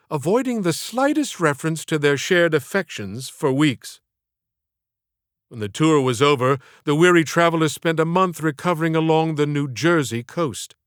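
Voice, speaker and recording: A man reading literary scripted narration as an audiobook author, in a measured, theatrical way. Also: on the high side, a bit bright, clean tone, dry recording, studio quality.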